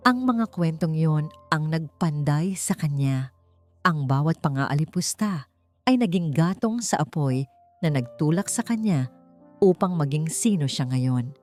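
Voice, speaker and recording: A woman narrating a romance audiobook in a slow, solemn storytelling manner. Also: sits low, animated. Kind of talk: scripted dramatic narration. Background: soft background music.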